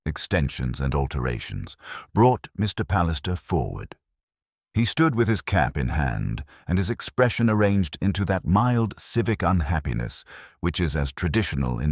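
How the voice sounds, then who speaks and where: Very deep-voiced man narrating, dry and measured. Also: slightly rough, no echo. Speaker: narrator of a mystery drama. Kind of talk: scripted literary mystery narration.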